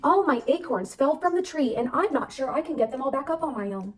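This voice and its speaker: A woman's voice: sits high, expressive, a little fast, recorded from a distance, voice pushed up. A woman voicing a small, flustered male squirrel in a storybook, polite and a little helpless.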